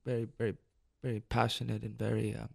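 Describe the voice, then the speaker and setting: Gravelly, reflective musician from Detroit. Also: male voice, on the low side, completely flat delivery, a little mellow. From Detroit, United States. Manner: reflective, halting, many restarts. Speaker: musician guest. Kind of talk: live radio interview. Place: radio studio.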